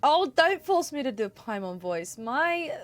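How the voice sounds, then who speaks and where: A woman casually chatting and laughing while playing a game on stream, in a relaxed, playful way. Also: high-pitched, measured pace. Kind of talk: casual gameplay commentary.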